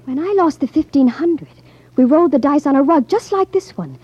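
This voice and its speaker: A woman's voice: high voice, expressive, a little fast, a little mellow, no echo. An actress playing a naive, wide-eyed young American woman, eager and bubbly, in a 1950s radio drama. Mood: puzzled. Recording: dull 1950s radio recording.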